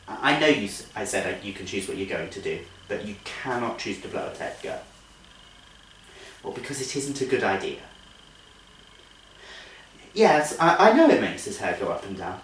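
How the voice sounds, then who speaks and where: A man's voice: mid-range voice, a bit bright. A man performing a comic stage monologue as a genteel female nursery teacher, politely coaxing and scolding with strained cheer. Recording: echoey room, distant microphone.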